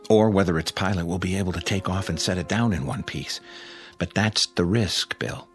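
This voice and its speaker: An actor in a radio drama playing an anxious test pilot desperate to escape, tense and questioning. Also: male voice, low-pitched, moderate pace, soft background music. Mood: anxious, grave.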